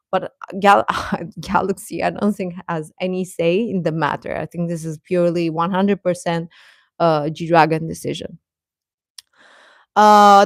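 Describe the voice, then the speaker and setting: A woman with a slightly low voice hosts a casual livestream, talking straight to her viewers. Her delivery is halting and conversational, with hesitations as she thinks aloud. The sound is close and dry, with little room in it. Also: no room sound. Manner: hesitant, halting, chuckles often while talking. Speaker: livestream host reading viewer comments. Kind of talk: casual livestream chat commentary.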